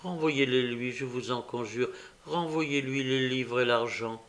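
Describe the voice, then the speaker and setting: A man voicing a gentle, desperate orphan boy in an audiobook story, imploring and anguished. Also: medium pitch, a little slow, natural voice. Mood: desperate pleading.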